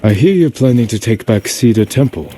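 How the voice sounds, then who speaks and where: An actor playing a stoic samurai lord in a game cutscene, speaking in grave, terse, measured phrases. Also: male voice, medium pitch, lively intonation, natural voice. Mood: guarded, doubting. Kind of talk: dramatic game cutscene acting. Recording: loud noise behind the voice.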